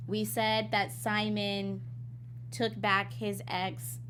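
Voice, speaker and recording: A woman's voice: mid-range voice, flat delivery, fairly bright tone, slight background noise. Agreeable woman co-hosting a recap. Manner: agreeable, quick to chime in. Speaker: co-host of a TV recap show. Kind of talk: casual panel chat.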